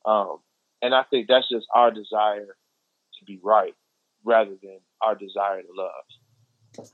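A man's voice: on the low side, steady tone, slow pace, no room sound, phone-quality sound. A man with an American accent explaining his views in casual conversation, emphatic and repeating phrases for stress.

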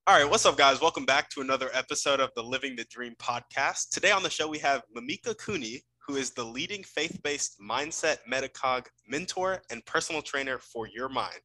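A man hosting a podcast who greets listeners and brings in his guest with friendly energy. His voice is notably bright and forward, sitting in a mid range. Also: mid-range voice, low-quality recording. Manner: friendly, energetic host patter. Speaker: podcast host.

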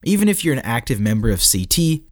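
A man's voice: mid-range voice, very animated, fast talker, no room sound, clean studio sound. A man reading a scripted article aloud as a narrator, with a tongue-in-cheek manner.

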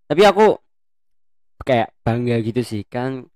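A man's voice: mid-range voice, sing-song, measured pace, a little mellow, no room sound, clean studio sound. A young man from Bandung co-hosting a casual chat, playful and slangy, laughing often. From Bandung, Indonesia. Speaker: co-host.

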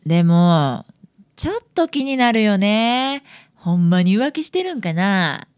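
Woman playing a kansai assistant girl, wry and teasing, lively up-down voice. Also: mid-range voice, unhurried, clean tone. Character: sensible young female detective's assistant. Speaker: detective's assistant. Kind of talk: community radio drama.

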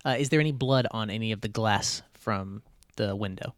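Guy playing a tabletop game, lowish voice, halting casual talk, really dry audio. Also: no room sound. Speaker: player. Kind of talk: casual tabletop role-play chat.

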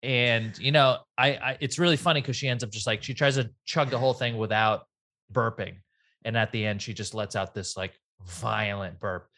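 A man's voice: lowish voice, fairly even intonation, normal speed, sharp tone. A man co-hosting a podcast in a laid-back, rambling way. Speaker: podcast co-host. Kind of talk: casual podcast chat.

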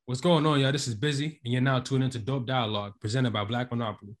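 A man's voice: medium pitch, fairly even intonation, quick pace, soft background music. A man hosting a casual podcast interview, speaking informally with slangy phrasing. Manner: informal, slangy, filler-laden. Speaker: podcast host.

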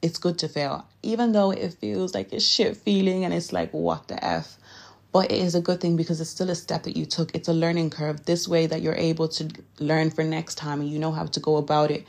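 A young woman with a Nigerian accent talks straight to her listeners in a casual, encouraging podcast style. Her voice sits low, and she speaks earnestly, sometimes stumbling and correcting herself. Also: fairly even intonation, a little fast, vocal fry, a little mellow. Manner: earnest and direct, occasional laughs and self-corrections. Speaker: podcast host. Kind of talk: casual motivational talk.

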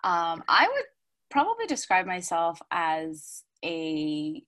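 Female podcast guest with an American accent, slightly low pitch, casual conversational interview style. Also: wildly swooping pitch, takes it slowly, a bit bright, no room sound, studio quality. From Dominican Republic, raised in the United States. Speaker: podcast guest, wellness coach and Zumba instructor.

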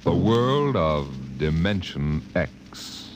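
Deep, slow radio-drama narrator man. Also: big pitch swings, very noisy. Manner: slow, portentous. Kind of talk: dramatic show-opening narration. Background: orchestral music with timpani.

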